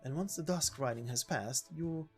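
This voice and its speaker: A man voicing a hospitable village elder from a game story, with formal, measured and slightly halting speech. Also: on the high side, soft background music, natural voice. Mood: welcoming. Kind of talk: video game story voice acting.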